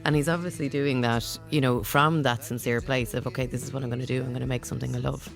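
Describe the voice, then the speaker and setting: A woman's voice: rumbling low, steady tone, fast, vocal fry, faint music behind. A woman reviewing an album on a live radio panel, talking in a chatty way and thinking aloud with lots of hedging fillers. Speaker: music reviewer and radio producer. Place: radio studio.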